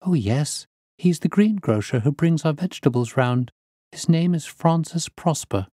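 A man's voice: fairly high pitch, lively intonation, moderate pace, dark tone, no room sound, studio quality, voice pushed up. A man voicing an earnest, polite young English lady in an audiobook, imploring on behalf of her cousin. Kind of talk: audiobook character reading.

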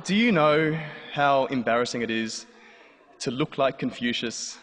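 A man's voice: mid-range voice, measured pace, a bit bright. A man in his thirties with an Australian accent, telling a comic personal story on stage in a dry, wry way. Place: large hall before a live audience. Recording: live hall with long reverb, faint noise.

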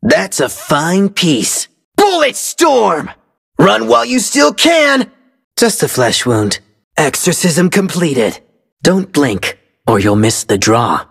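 A man's voice: sits high, big pitch swings, slow pace, gravelly, a bit bright, slightly rough, exaggerated voice. A man playing a cocky, deadly gunslinger who hunts demons, dry and menacing, as video game character lines. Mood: menacing swagger.

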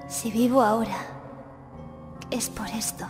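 Woman as high-voiced, sorrowful young female assassin, reverberant. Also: steady tone, natural voice. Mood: tender sorrow. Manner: quiet, solemn, measured. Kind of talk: anime fan dub acting. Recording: long reverb under background music.

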